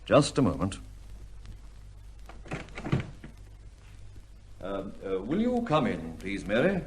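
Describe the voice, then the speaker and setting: An actor playing a shrewd, fatherly upper-class gentleman investigator in an old-time radio drama, with a commanding, wry, questioning manner. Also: fairly low pitch, huge pitch swings, moderate pace, a little hiss or hum. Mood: confident.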